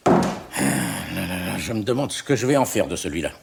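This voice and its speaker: An actor playing a touchy, grumbling factory boss nearing fifty in a French radio play. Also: male voice, deep voice, sing-song, fairly quick, gravelly, heavy background noise, full character voice. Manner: blustering, grumbling.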